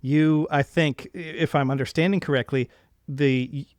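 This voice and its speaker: A man's voice: fairly high pitch, fairly even intonation, moderate pace, no echo. A man hosting a casual interview, reflecting at length and enthusiastically affirming his guest. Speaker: podcast host interviewing a guest.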